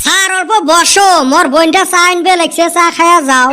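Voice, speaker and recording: A woman's voice: very high-pitched, brisk, sharp tone. A woman voicing a cheeky, scheming schoolboy in a comic cartoon, with a northern Bengali accent and a sly, mock-innocent manner. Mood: sly teasing. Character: cheeky scheming schoolboy, master of tall tales. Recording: noisy track with clicks.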